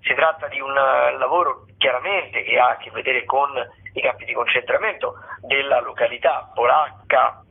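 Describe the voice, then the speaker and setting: Man, slightly rough medium voice, digressive critic, informal listings rundown, tinny phone audio. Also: mid-range voice, normal speed, hardly any pauses. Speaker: regular radio theatre critic. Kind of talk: informal spoken event listings and commentary. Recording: muffled, narrow-band phone line.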